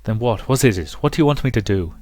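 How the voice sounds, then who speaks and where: A man's voice: lowish voice, lively intonation, fast talker, a little mellow, no room sound, natural voice. Man reading as earnest, devoted fiancé. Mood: insistent, concerned. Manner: earnest, insistent. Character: steadfast, devoted young male fiancé, earnest. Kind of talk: visual novel character reading.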